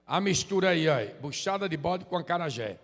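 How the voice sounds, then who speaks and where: A man, preaching a relaxed, joking sermon and prompting the congregation to answer. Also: on the high side, fairly quick, creaky, big-room sound. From Sergipe, Brazil. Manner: joking, chatty, drops "amém?" tags. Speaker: visiting preacher. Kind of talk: informal sermon with crowd call-and-response. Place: church sanctuary.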